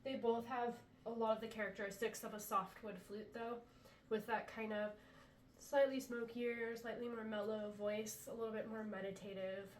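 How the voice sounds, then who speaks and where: A woman's voice: a little high, moderate pace, far from the mic, a little hiss or hum. A woman demonstrating handmade flutes in a casual, chatty way, sounding a little congested after a cold. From US Pacific Northwest. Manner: chatty, relaxed, many ums. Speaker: flute maker showing her products. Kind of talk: casual product demo. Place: indoor room, talking to camera. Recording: echoey room, voice from off-mic.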